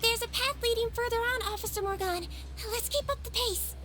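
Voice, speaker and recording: A tiny, squeaky creature girl. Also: brisk. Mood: eager. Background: game music.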